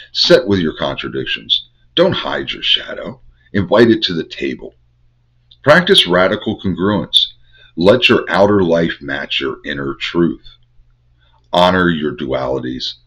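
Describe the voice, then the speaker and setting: An older man with a head cold, hosting a Bible study and teaching in measured, incantatory short phrases. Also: low-pitched, a bit bright, breathy edge. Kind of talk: spiritual teaching monologue. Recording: dull lo-fi recording.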